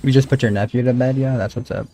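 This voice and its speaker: A man's voice: mid-range voice, steady tone, fast talker, vocal fry, dark tone, a little hiss or hum. A man livestreaming a game, chatting casually with viewers in a chummy, slangy way. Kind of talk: casual game stream chat.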